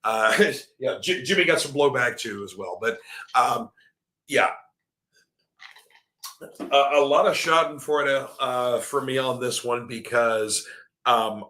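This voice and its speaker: Middle-aged male show host, rambling and opinionated, sharp bright tone, slightly scratchy throat. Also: mid-range voice. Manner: rambling, opinionated, heavy on fillers. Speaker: wrestling podcast host. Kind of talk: live-streamed opinion commentary.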